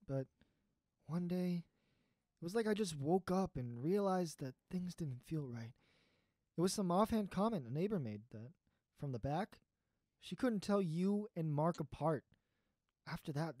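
A young adult actor playing an earnest, heartbroken character with halting speech in an audio drama. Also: medium pitch, mellow, dry recording, close to an everyday voice. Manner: hesitant, earnest, halting confession. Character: heartbroken, lovesick young adult secretly turning into a beast.

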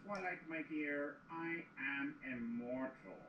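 A woman's voice: sits low, takes it slowly, highs cut off. A woman playing a suave, theatrical immortal male trickster creature in a fan cartoon audio drama. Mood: aggrieved, droll. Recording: roomy playback with noise.

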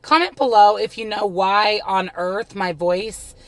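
A woman's voice: medium pitch, measured pace. A woman in her thirties, her voice slightly scratchy, chatting upbeat to viewers from inside a parked car, filmed with a phone held at arm's length. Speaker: vlogger. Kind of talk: casual vlog talk to camera. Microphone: phone camera at arm's length.

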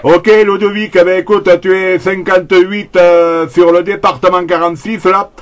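A man's voice: high-pitched, flat delivery, normal speed, over music. A man talking casually as a CB radio operator, peppering his phrases with little tag words, over a radio link. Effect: CB radio transmission. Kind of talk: casual radio contact chat.

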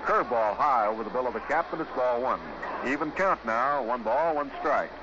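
A man's voice: medium pitch, highs cut off. Vintage 1950s baseball radio announcer, male, noisy. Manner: measured, clipped phrases with pauses.

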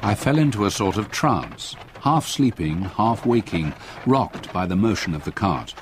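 An actor playing a haunted older gentleman, narrating his memories gravely and deliberately in a radio drama. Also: fairly low pitch, lively intonation, noisy background. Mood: brooding, grave. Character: haunted older English solicitor recalling terror.

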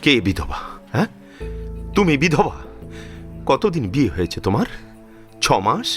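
A man's voice: a little high, huge pitch swings, measured pace, faint music behind. An actor playing a protective, grieving older father-in-law in a Bengali audio drama, speaking emphatically and emotionally with a Bengali accent. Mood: indignant. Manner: emphatic, emotional, repeats phrases.

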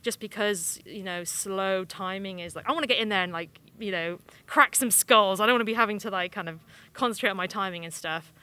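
A woman's voice: medium pitch, a little fast, pushed and bright. A young woman giving casual, chatty game impressions in informal, slangy, rambling phrases into a handheld microphone. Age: young adult. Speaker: games journalist giving hands-on impressions. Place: small indoor room at a games convention.

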